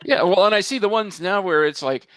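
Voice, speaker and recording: A man's voice: sits high, fairly quick, a bit bright, smooth, no echo. A man chatting casually on a panel as a performer, in a chatty, enthusiastic manner. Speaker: table read performer.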